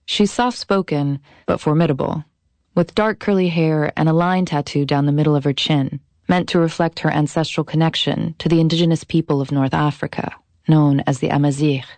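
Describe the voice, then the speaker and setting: A woman in her thirties hosting and narrating a scripted documentary podcast, measured and deliberate, slowing down on key phrases. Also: sits low, fairly even intonation, a little mellow, no room sound.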